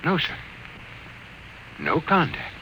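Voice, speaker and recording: A dutiful, nervous male radio sergeant from an old radio drama. He speaks slowly and hesitantly, in a fairly high, deferential voice over audible hiss and noise. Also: huge pitch swings, heavily acted. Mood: guarded. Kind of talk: 1950s radio acting. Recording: noisy old radio recording.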